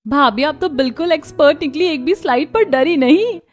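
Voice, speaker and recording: A woman's voice: high-pitched, animated, moderate pace, faint music behind. A woman voicing an eager, playful young sister-in-law in a cartoon. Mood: excited.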